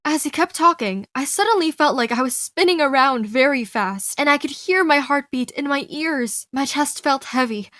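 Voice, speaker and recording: A young adult woman doing dramatic first-person storytelling for a cartoon fandub, expressive and theatrical. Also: high voice, moderate pace, hardly any pauses, dry recording, studio quality, faint music behind. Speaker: fan dub voice actor.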